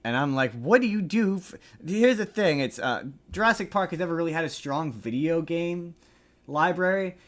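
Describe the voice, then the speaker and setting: A man's voice: on the high side, animated, normal speed, fairly bright tone. Rambling, opinionated man chatting casually. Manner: rambling, opinionated, often restarts and repeats himself. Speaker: podcast host. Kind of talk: casual pop-culture news chat.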